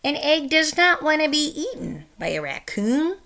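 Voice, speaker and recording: A woman's voice: high-pitched, very animated, normal speed, pushed and bright. A middle-aged woman reading a children's picture book aloud, with careful, emphatic phrasing for young listeners. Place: small room at home, indoors.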